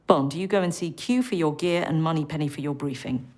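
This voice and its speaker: A woman playing a stern, brisk spy agency chief in a video game, with a British accent and a clipped, commanding manner. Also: sits low, darkish tone. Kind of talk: video game cutscene acting.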